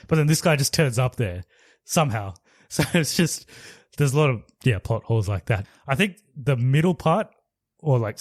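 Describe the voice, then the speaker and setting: A man's voice: mid-range voice, no room sound, clean studio sound. A man co-hosting a podcast, casually reviewing a movie in a rambling, laid-back way. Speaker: podcast co-host.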